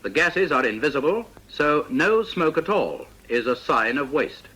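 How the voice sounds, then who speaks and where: Bright-voiced British man narrating training film. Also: a little high, big pitch swings, slightly rough, low-quality recording. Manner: didactic, precise, measured explaining. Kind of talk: scripted instructional narration, vintage training film.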